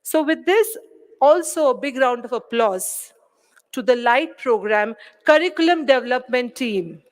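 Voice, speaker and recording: A woman's voice: high-pitched, animated, a little slow. Upbeat woman addressing an event. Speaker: event speaker.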